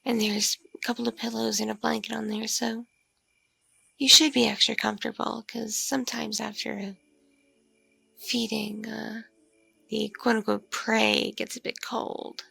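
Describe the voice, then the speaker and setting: Give the part to a mature female vampire, acted by a woman, seductive and politely predatory. Her voice sits fairly high yet crackles heavily with fry. She murmurs slowly and intimately, doting on her prey in an ASMR roleplay and slipping into whispers. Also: flat delivery, sharp tone, dry recording, faint music behind, full character voice. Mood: doting, reassuring. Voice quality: husky, creaky.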